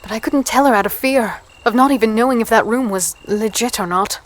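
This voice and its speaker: A woman playing a guarded, curious young human captive in a dark fantasy audio drama, hesitant, polite and introspective. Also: on the high side, brisk, lightly acted. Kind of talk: first-person audio drama narration and dialogue.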